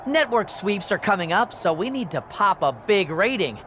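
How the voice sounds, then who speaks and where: A man's voice: high voice, big pitch swings, moderate pace, a bit bright, slight background noise. A man playing an eager, chipper wrestling show producer in a video game, emphatic and keen to please. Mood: upbeat. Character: eager, chirpy male TV wrestling producer, upbeat company man.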